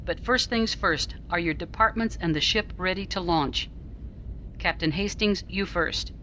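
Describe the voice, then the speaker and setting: A woman playing a composed, principled starship captain in a science-fiction audio drama, speaking in a measured, articulate and authoritative way. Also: on the low side, pushed and bright, lo-fi sound, slight background noise. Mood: businesslike.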